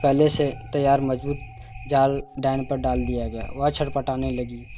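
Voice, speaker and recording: A man's voice: medium pitch, little pitch movement, unhurried, mellow, slight background noise. A man narrating a scripted cartoon folk tale as storyteller.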